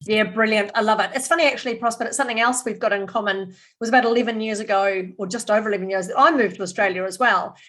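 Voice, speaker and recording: A woman's voice: mid-range voice, steady tone, quick pace, few pauses, a bit bright. A woman hosting a podcast interview over a call, upbeat and encouraging. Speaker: podcast host. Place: remote call.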